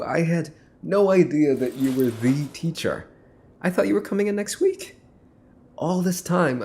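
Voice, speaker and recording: Man playing a smug, slow-talking young mafia heir. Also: mid-range voice, a little mellow, slight background noise. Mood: teasing, amused. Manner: smug, taunting, drawn-out phrasing with breathy whispers. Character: possessive, teasing young mafia boss's son holding a captive. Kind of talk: ASMR boyfriend roleplay acting.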